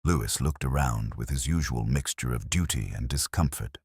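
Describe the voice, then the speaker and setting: A man reading a first-person literary detective mystery in a measured, reflective, melancholy way. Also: extremely low pitch, slightly rough, dry recording, clean studio sound.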